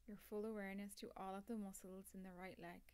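A woman guides a relaxation practice in a soft, mid-pitched voice with very little melodic movement. Her delivery is calm and measured, with long pauses between short instructions. Phrase endings often fall away into breath, giving it a soothing feel. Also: clean tone. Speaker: yoga nidra teacher. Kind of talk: guided meditation, scripted instruction.

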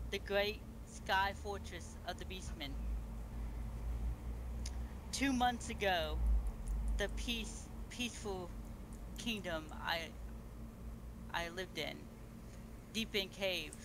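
A man playing a solemn beastman empress in online game role-play, making a formal, halting speech. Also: sits high. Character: Empress Dragon, solemn ruler of fantasy beastmen. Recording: noisy amateur game voice chat.

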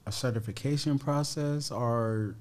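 A young American man with a medium-pitched voice hosts a casual podcast. He asks questions in a halting way, repeating words, close to a studio microphone. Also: darkish tone. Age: young adult. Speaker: podcast host. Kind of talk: casual chat, interviewing. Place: small podcast studio, indoors. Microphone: close studio microphone, headphones.

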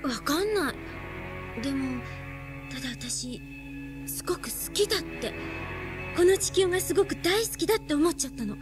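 A woman's voice: high voice, expressive, normal speed, long pauses, over music. A woman playing an earnest, impulsive young heroine in a radio drama, speaking in a girlish, colloquial way. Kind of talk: radio drama acting and first-person narration.

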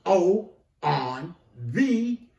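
A man philosophizing casually in a street interview, stressing and repeating his words in a preachy, drawn-out way. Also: high-pitched, sing-song, creaky, warm tone, dull audio. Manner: preachy, drawn-out, repetitive emphasis. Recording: echoey, distant from the mic.

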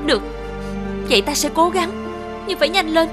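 A woman voicing a gentle, brave young princess in a children's cartoon, earnest and plaintive. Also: very high voice, lively intonation, moderate pace, over loud music. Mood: pleading, impatient.

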